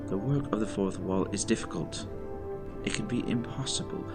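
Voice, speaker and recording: Man playing a low-voiced, weary old gentleman. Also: loud music behind the voice, natural voice. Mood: grave, advising. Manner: formal, earnest, measured diction. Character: weary, regretful old gentleman, principled and formal. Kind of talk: scripted audio drama acting.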